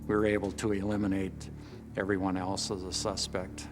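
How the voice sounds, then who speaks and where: A man's voice: low-pitched, completely flat delivery, normal speed, over music. A man with an American accent, a police detective recalling a case in an interview. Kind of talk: interview recollection.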